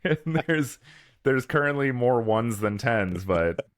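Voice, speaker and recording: A man's voice: medium pitch, lively intonation, slow pace, dry recording, clean studio sound. A man chatting casually and informally as a podcast co-host, with some swearing. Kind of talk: casual chat about horror movies.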